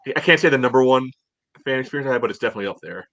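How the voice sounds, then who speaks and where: A man casually discussing a match as a podcast co-host, in halting, meandering phrases. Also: medium pitch, expressive, fairly bright tone, dry recording. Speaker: soccer fan podcast co-host.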